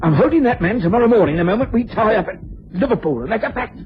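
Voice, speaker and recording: A blustering police inspector, stubborn and quick to anger, spluttering in a high voice with a British accent. It is old radio drama on a muffled recording. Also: male voice, animated. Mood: outraged. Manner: spluttering, barking orders, emphatic.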